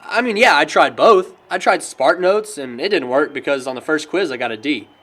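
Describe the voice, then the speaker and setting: A man's voice: on the high side, animated, moderate pace, a bit bright. A young American man acting as a wry, sarcastic, bookish friend in film dialogue. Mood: reminiscing. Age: young adult. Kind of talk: naturalistic amateur film dialogue.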